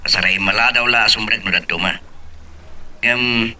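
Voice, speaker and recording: A man's voice: lowish voice, normal speed, sharp tone. A man playing a polite, deferential male office employee in an Ilocano radio drama.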